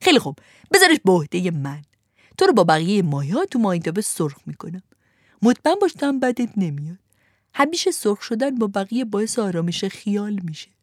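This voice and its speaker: A woman reading a storybook aloud, voicing a greedy green fisherman who is gloating and ogre-like, and who speaks slowly and mockingly. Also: medium pitch, sing-song, dry recording, clean studio sound. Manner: slow, relishing, mocking.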